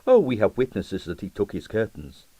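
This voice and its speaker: A man voicing a shrewd, smooth-talking police detective in an audiobook, questioning cordially and with gentle insistence. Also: fairly low pitch, huge pitch swings, moderate pace, no room sound. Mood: bluffing.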